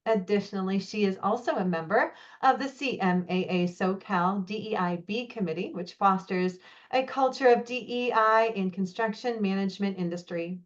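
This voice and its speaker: Female webinar moderator; measured scripted intro reading, mid voice, dull lo-fi call audio. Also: medium pitch. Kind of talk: reading a formal speaker introduction.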